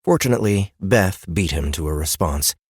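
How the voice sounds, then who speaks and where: Casting: expressive narrator for fantasy fiction, mid-range voice, scripted read, dry booth sound. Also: deep voice, moderate pace, husky, no echo. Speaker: audiobook narrator. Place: dry studio.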